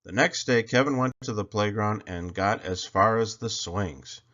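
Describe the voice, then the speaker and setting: A man narrating a children's picture book aloud in an expressive storytelling style. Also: fairly low pitch, unhurried, lo-fi sound.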